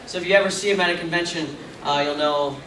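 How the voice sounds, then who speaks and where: A man's voice: on the high side, steady tone, fast talker. Chatty man in an echoey hall. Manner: chatty, rambling, self-mocking with asides. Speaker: convention panel guest. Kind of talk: casual Q&A with fans. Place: large convention hall. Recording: echoey hall taped from far off, noisy.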